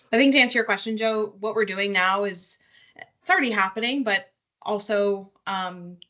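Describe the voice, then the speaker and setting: A woman speaking as a company panelist, explaining business matters conversationally in halting fragments. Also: medium pitch, sharp tone. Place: online webinar call.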